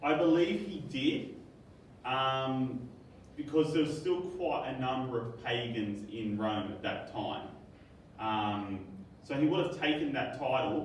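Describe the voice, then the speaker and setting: A man's voice: mid-range voice, slight background noise. Male teacher, echoey classroom. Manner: upbeat, jokey, quizzing students. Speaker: history teacher. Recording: echoey room from far off.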